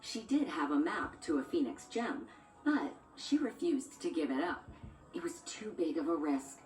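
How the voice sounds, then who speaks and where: A woman's voice: low voice, very animated, moderate pace, darkish tone. A woman reading a scripted children's storybook as a read-along narrator, with music behind her. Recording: roomy, distant sound with music underneath.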